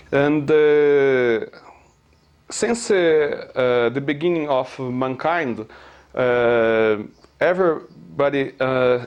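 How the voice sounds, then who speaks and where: A man from Brazil with a Brazilian Portuguese accent, explaining his physics theory in slow, hesitant English with many filler sounds and pauses. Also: a little high. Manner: earnest, halting non-native phrasing. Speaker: self-taught theorist presenting his theory.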